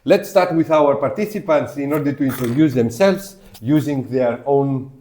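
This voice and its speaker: Mellow-voiced man hosting a formal panel. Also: mid-range voice, normal speed. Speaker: podcast host, university professor. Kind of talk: moderated panel discussion.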